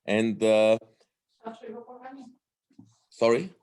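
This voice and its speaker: A man's voice: fairly low pitch, fairly even intonation, extremely slow, a little mellow, smooth. A man with a strong Italian accent giving a talk in English, hesitant, with many fillers and restarts. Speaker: guest speaker on democracy. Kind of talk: lecture-style talk.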